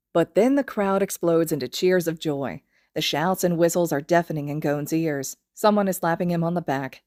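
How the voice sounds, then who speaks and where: A woman's voice: low-pitched, a little mellow, dry recording, studio quality. A woman reading a story aloud in scripted narration. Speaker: podfic reader.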